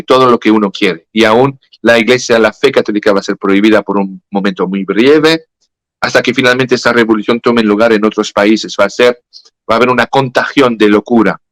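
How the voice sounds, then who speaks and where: A man's voice: medium pitch, fairly bright tone, dry recording, low-quality recording. A man with a French accent, a native French speaker, explaining at length in a conversational talk, hesitant with many fillers and self-corrections. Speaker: guest speaker.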